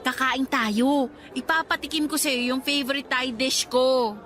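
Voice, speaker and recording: An actress playing a bubbly, teasing young Filipina friend in a radio drama, with a playful, expressive Filipino accent. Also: female voice, high voice, measured pace, slight background noise.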